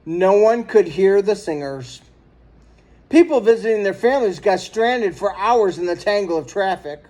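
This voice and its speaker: High-voiced man reading a storybook. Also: expressive, moderate pace, a little mellow. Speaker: storybook reader. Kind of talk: read-aloud children's story narration.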